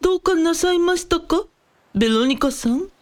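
A high, very animated voice for a flippant rich male cult leader , acted by a woman. The speech is theatrical and excessively polite, full of playful drawn-out exclamations, as in a comic radio drama. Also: a little hiss or hum. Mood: breezy, then sheepish.

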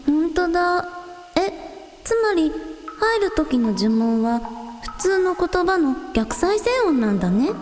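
A woman plays a curious young girl in a radio drama with a high, mellow voice. She speaks slowly and thoughtfully, in long reverb with music behind. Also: sing-song, heavily acted. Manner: casual, inquisitive, unhurried.

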